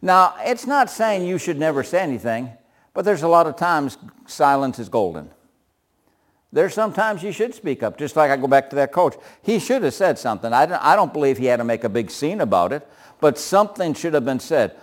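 A man with a loud voice leading a group Bible study, often chuckling and putting questions to the group. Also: a little high, big pitch swings, brisk.

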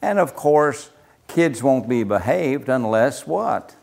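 An older man with a soft, folksy voice leading a casual Bible study class. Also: medium pitch, big pitch swings, slow, vocal fry. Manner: soft-spoken, folksy, chuckles often.

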